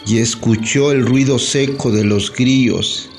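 A Zapotec-speaking man from Oaxaca, reciting his own poetry in a measured, solemn way with long pauses. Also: medium pitch, fairly even intonation, soft background music. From Sierra Sur of Oaxaca, Mexico. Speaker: poet.

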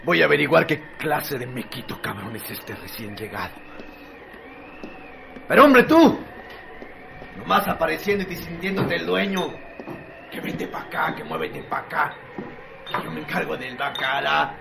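An actor playing a gruff, jealous, swaggering brothel tough with a Mexican accent in a radio drama. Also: male voice, on the high side, big pitch swings, normal speed, pauses often, a crowd in the background, full character voice. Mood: confrontational.

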